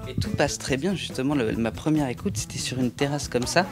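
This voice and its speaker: A man's voice: mid-range voice, brisk. Enthusiastic male host over music. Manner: effusive, rambling questions. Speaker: interviewer and host. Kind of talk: enthusiastic casual interview.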